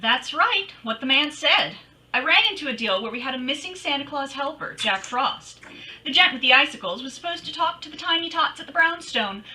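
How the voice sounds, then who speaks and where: A woman acting as a breezy female private eye from an old radio serial. The voice is very bright and forceful, pitched high, and swings widely up and down. The delivery is sassy and full of quips. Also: exaggerated voice. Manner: sassy, quippy, slangy. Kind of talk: old-time radio detective drama acting.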